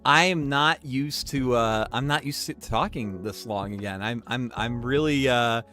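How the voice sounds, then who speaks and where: A man's voice: medium pitch, unhurried, sharp tone, soft background music. A man streaming and commenting casually, with a sniffly, stuffed nose, rambling and restarting his sentences often.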